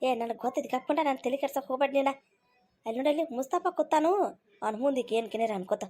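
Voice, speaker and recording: A woman's voice: high voice, racing, a little mellow. A woman voicing a bossy, stubborn, greedy mother-in-law in a cartoon comedy, scolding insistently with a North Karnataka accent. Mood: stubborn, chatty.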